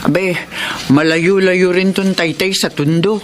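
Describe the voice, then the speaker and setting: An actress playing a generous, practical elder sister in a radio drama, speaking in a brisk, organized way. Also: female voice, lowish voice, steady tone. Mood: practical, grateful. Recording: clear background ambient noise.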